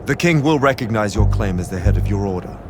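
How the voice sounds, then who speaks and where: Low-voiced formal messenger. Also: male voice, expressive, fast talker, gravelly, music in the background. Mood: formal, dry. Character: formal, dutiful male military messenger, dryly diplomatic. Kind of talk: video game cutscene acting.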